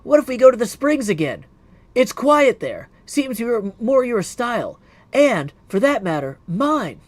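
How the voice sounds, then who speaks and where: High pitch, man acting as young woman witch, earnest, caring, forthright, emphatic, video game heroine. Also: sing-song, a little slow, dry recording, heavily acted. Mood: gently inviting.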